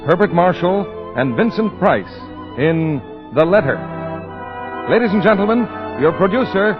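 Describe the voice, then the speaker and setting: High-pitched male radio announcer over music. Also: animated, measured pace. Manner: declamatory, proclaiming. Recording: muffled 1940s radio recording over organ music.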